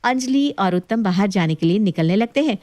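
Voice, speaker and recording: A woman's voice: medium pitch, expressive, fairly quick, darkish tone, dry recording. A woman narrating a scripted domestic tale as storyteller.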